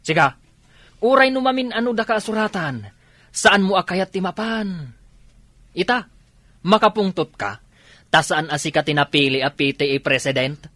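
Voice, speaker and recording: Man acting sharp, exasperated Ilocano husband. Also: high-pitched, lively intonation, moderate pace, long pauses, dry recording. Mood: scolding. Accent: Filipino, Ilocano. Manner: nagging, challenging questions. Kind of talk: Ilocano comedy radio drama acting.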